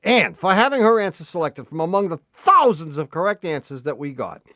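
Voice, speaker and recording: Teasing radio co-host with a lively voice. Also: male voice, a little high, no room sound, clean studio sound. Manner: playful, teasing, quick laughs. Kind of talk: joking radio banter. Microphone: studio mic.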